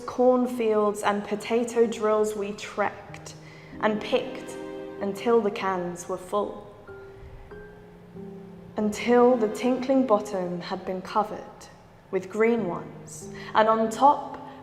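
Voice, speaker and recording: A woman reading poetry aloud as a measured recital, in short, deliberate phrases. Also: mid-range voice. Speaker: poetry reader. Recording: echoey hall with long reverb.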